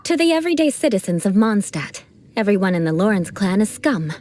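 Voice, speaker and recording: A woman's voice: mid-range voice, very animated, brisk, heavy background noise, lightly acted. A woman voicing a proud young aristocratic female knight in a game, speaking formally with dry irony. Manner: formal, haughty, dryly ironic. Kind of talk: game voice acting.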